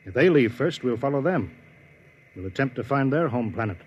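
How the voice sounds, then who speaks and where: Grim spaceship commander from 50s radio, husky, bossy, tired but resolute. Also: male voice, medium pitch, lively intonation, a little mellow, highs cut off. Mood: grim, urgent. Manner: authoritative, clipped, deliberate. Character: stern, weary, resolute starship commander. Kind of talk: 1950s radio acting. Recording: old 1950s radio drama recording.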